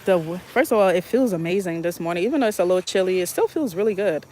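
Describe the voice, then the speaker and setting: A woman's voice: fairly low pitch, lively intonation, fast talker, darkish tone, slight background noise. A talkative woman chats casually to her viewers while she waters her garden outdoors. She speaks into a phone, laughs often and talks to her audience like friends. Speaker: gardening vlogger.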